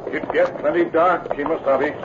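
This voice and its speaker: An actor playing a loyal, stoic companion to a western hero in a 1950s radio adventure, in terse, clipped broken English. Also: male voice, a little high, fairly even intonation, moderate pace, natural voice. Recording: tinny, noisy old radio broadcast.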